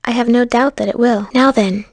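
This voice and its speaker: An actress playing an earnest, polite young winged woman in a video game, speaking in a formal, gentle way. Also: on the high side, fairly even intonation, normal speed, dry recording. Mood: polite, composed.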